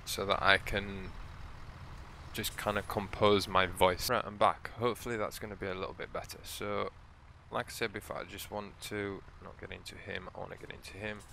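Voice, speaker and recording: A man with a croaky, hoarse voice, giving relaxed, rambling commentary as he plays a farming game for his channel. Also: sits low, moderate pace, a little hiss or hum. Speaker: let's play host. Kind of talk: casual gameplay commentary.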